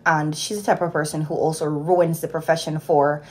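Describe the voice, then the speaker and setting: A woman's voice: sits low, normal speed. A young woman in her twenties from Jamaica, a nurse telling a work story in a casual vlog, recorded on a phone held at arm's length in a small room at home. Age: 20s. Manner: animated, exasperated storytelling. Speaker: nurse vlogger.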